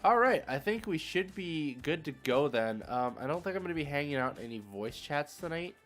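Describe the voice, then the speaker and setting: A man's voice: on the high side, big pitch swings, fairly quick, a bit bright, soft background music. A man live streaming a game, chatting casually with viewers in a rambling, conversational, slangy way. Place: indoors, solo at a streaming setup.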